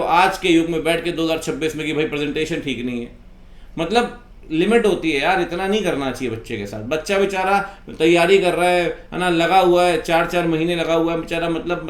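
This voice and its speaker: A man's voice: a little high, steady tone, fairly quick. A man, an accountancy teacher giving an impassioned, informal rant in an exasperated, reproachful way full of rhetorical questions. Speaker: accountancy teacher and video host. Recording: echoey room.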